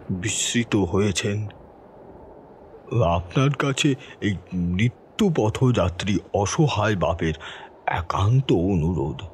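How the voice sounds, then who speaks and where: A man as slow, anguished dying old father. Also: lowish voice, darkish tone, a little hiss or hum. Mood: desperate pleading. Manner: slow, anguished, dramatic pleading. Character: helpless, dying bedridden old father writing a horrified, sorrowful confession. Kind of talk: audio horror story, dramatic first-person reading.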